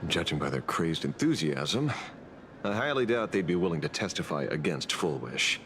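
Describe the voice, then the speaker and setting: A man's voice: low voice, big pitch swings, moderate pace, background noise. A man playing a sly, teasing veteran investigator in a video game, with a casual, wry and theatrical delivery. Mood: wry, suspicious. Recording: music behind the voice.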